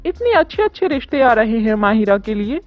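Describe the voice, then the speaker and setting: A woman voicing an indulgent, reassuring middle-aged mother in an animated story. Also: a little high, brisk, dry recording. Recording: dull lo-fi audio with music.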